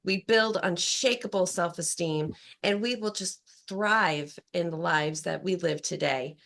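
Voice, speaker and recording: Upbeat, slightly hoarse woman podcast host. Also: fairly low pitch, a little slow, fairly bright tone. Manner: upbeat, encouraging, emphatic. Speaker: podcast host and empowerment coach. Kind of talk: scripted intro then interview chat.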